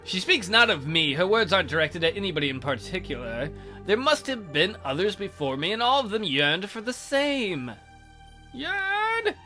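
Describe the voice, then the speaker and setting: A man's voice: sits high, big pitch swings, a little fast, bright, forceful tone, faint music behind. A man reading a visual novel aloud theatrically as a let's play host, with casual, jokey asides.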